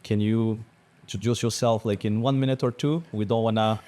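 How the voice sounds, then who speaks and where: A man's voice: lowish voice, fairly even intonation, brisk, warm tone. A man hosting a podcast, speaking in a casual interview style. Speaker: podcast host.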